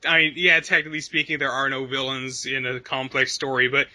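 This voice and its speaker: A man's voice: mid-range voice, flat delivery, a little fast, sharp tone. A man co-hosting a casual gaming podcast, laughing easily and moving the talk along. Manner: laughs easily, steers the topic list. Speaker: podcast co-host. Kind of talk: casual chat.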